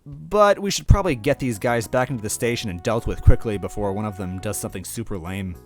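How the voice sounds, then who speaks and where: A man's voice: lowish voice, fairly quick, soft background music, close to an everyday voice. A man voicing a cheerful, encouraging young female creature sidekick, peppy and casual, in a story reading. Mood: brisk.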